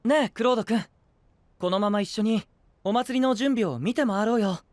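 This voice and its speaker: A man playing a gentle, composed, boyish young knight with a friendly, polite manner in an anime-style voice drama. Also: high voice, moderate pace, dry recording, close to an everyday voice. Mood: breezy, friendly.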